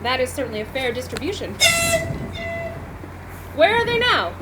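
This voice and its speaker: A woman playing a lively 1940s radio interviewer. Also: high voice, normal speed. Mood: gracious. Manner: formal announcer delivery. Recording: noisy live event recording.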